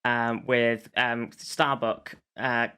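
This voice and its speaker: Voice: medium pitch, little pitch movement, very slow, bright, forceful tone, clean tone. A podcast host recapping a show in casual conversation, feeling for words along the way.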